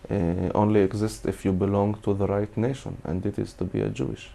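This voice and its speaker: Gravelly, low-voiced man in an interview. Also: level tone, mellow. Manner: earnest, halting with small restarts. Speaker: screenwriter interviewed. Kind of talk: interview answers.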